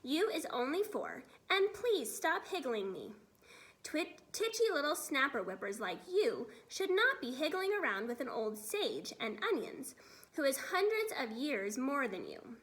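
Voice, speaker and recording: Storybook role: kindly, excitable ancient giant played by a woman, muddled grammar, whimsical words, high and swooping pitch. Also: a little slow, full character voice. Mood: patient, mildly chiding.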